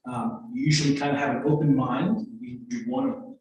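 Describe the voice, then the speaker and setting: A middle-aged man, a trial lawyer on a career panel, talking in a relaxed way with fillers. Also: mid-range voice, fairly quick, dull audio, a little hiss or hum. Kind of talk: panel answer. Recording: echoey room, voice far from mic.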